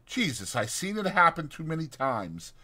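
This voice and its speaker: Guy doing a proud cynical stable hand, blunt country talk, sharp edge, audiobook drama. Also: on the high side, big pitch swings, normal speed, voice pushed up, heavily acted. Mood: brutal, scornful. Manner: blunt, dismissive, rough rural speech.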